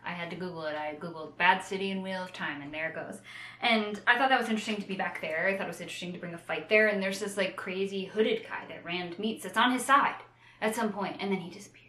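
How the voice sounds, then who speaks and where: A woman co-hosting a casual book discussion, retelling in an animated way with playful, slangy asides. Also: medium pitch, quick pace. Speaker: co-host of a book discussion show. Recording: roomy echo.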